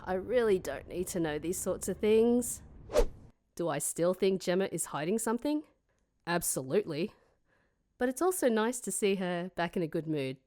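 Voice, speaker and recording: A woman's voice: on the low side, expressive, normal speed, mellow, lightly acted. A woman playing a grumpy, sardonic, hungover young woman in a comedic fantasy audio drama, sarcastic and dry. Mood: exasperated. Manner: sarcastic, mock-sweet then dry.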